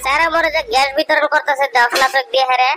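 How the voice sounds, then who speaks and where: A high-pitched, fast-talking cartoon villager voiced by a man, brazen and full of schemes. He wheedles and banters in a rural Bengali dialect. The voice is electronically raised, with music playing behind it. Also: steady tone, pushed and bright. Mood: wheedling. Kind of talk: comic cartoon dialogue.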